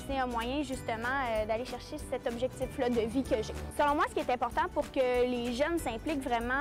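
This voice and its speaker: A young Québécois woman in her early twenties with a high, bright pitch. She talks eagerly and leans on emphasis, and clear music sits behind her voice. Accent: Québécois French. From Quebec. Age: 20s. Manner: eager, emphatic, with many fillers. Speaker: municipal councillor. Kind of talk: prepared testimonial.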